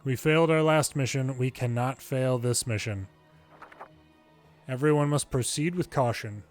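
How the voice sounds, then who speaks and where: A man's voice: medium pitch, a little slow. Man reading game dialogue over music. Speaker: game longplay narrator reading cutscene dialogue. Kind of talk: reading game story dialogue aloud in English.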